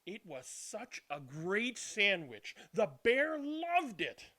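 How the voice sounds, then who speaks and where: A man reading a children's picture book aloud as a storyteller, dramatically, with drawn-out words and hushed passages. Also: sits high, huge pitch swings. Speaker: read-aloud storyteller and channel host. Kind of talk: expressive children's picture book read-aloud, then casual chat.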